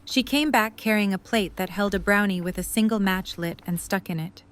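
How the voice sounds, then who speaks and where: A woman's voice: medium pitch, normal speed, a bit bright. A woman reading scripted third-person story narration for an audio drama.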